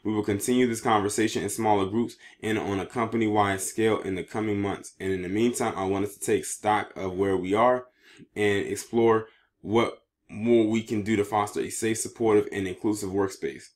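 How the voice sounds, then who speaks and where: A man with a fairly low voice delivers online news commentary. He moves between reading written reports and speaking his own take, and he sometimes trips over words as he reads. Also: steady tone, moderate pace.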